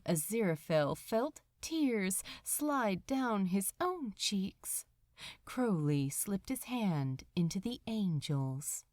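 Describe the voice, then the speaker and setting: Slow, expressive woman narrator in a dry room. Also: mid-range voice, dry recording. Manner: slow, expressive, dramatic storytelling. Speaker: audiobook narrator. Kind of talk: scripted fiction narration.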